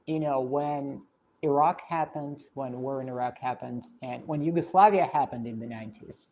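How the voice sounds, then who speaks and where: Streamer, woman, deep voice, Russian accent with a British English lilt, reflective and hesitant delivery. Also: normal speed, lo-fi sound, slight background noise. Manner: thoughtful, hesitant, many fillers and restarts. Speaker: streamer answering viewer questions. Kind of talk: casual livestream chat.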